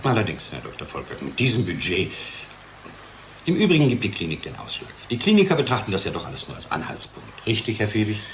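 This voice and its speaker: An actor playing a defensive, downcast middle-aged research scientist in a radio play, talking in a hesitant, dry way. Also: male voice, fairly low pitch, huge pitch swings, quick pace, fairly bright tone, slight background noise, heavily acted.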